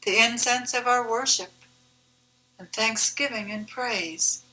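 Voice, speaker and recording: A woman reciting her own devotional poem in a measured, deliberate, reverent manner. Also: fairly high pitch, pushed and bright, rough recording. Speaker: author reading own poem.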